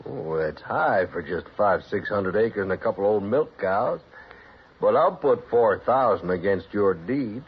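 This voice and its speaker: Cocky, husky western bully. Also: male voice, on the low side, lively intonation, measured pace, full character voice. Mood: scornful. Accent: American. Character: cocky, scornful horse-owning cowboy bully. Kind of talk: 1950s western radio drama acting. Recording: dull old-time radio recording.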